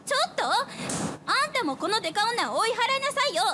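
Shrill-pitched, bossy tsundere schoolgirl. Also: big pitch swings, fast, full character voice. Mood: indignant. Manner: haughty, snappish, demanding. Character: bossy, prickly tsundere high school girl. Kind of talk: anime-style radio drama acting. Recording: loud ambient noise, passing vehicles.

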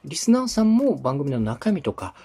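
A man from Osaka hosting a podcast consultation, explaining things politely and methodically with drawn-out hesitations. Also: on the high side, sing-song, warm tone, husky. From Osaka, Japan. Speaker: podcast host, radio director coaching a client.

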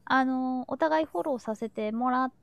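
Creaky, higher-voiced woman chatting. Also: normal speed, slight background noise. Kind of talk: casual chat, storytelling.

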